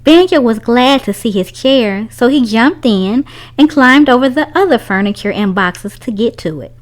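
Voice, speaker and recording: Animated, higher-pitched woman reading a storybook. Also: normal speed. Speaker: children's storybook reader. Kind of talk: read-aloud story narration.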